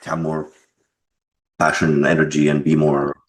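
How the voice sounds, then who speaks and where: A man's voice: a bass voice, completely flat delivery, moderate pace, a little hiss or hum. A man being interviewed as a game developer, talking casually and reflectively about his creative work.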